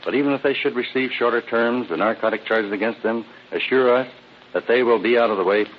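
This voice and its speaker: A man giving a formal, scripted radio address as a police captain, reading a little haltingly in 1930s style. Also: medium pitch. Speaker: police captain, guest commentator. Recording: dull noisy old radio recording.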